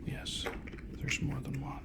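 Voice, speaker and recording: A man speaking in a soft, breathy whisper, chatting casually and low-key as an ASMR host. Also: slow, very noisy. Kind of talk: soft-spoken ASMR, rambling casual chat.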